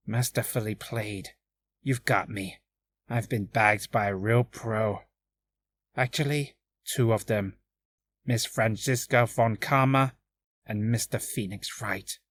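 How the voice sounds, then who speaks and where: A man voicing a polite, composed circus acrobat with a measured, courteous manner for a game character reel. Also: medium pitch, fairly bright tone.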